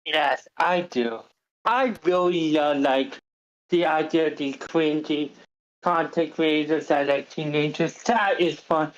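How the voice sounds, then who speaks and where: Dull lo-fi call audio, woman, unhurried pace, halting, enthusiastic, casual feedback. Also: low voice, steady tone. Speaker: table read participant giving feedback. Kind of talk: casual chat. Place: online meeting. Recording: dull, lo-fi online meeting audio.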